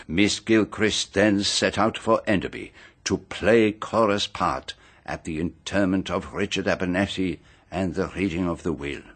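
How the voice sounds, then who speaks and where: Radio mystery sleuth: composed, shrewd, methodical, low register with a husky grain, precise dramatic pauses. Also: male voice, a bit bright, heavily acted. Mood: measured, accusing. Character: shrewd, composed male detective laying out a murder case.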